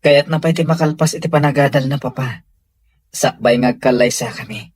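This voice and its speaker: A man's voice: medium pitch, steady tone, normal speed, darkish tone, no echo, close to an everyday voice. An actor with a Filipino accent playing a devoted, tender young man in a radio drama. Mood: respectful.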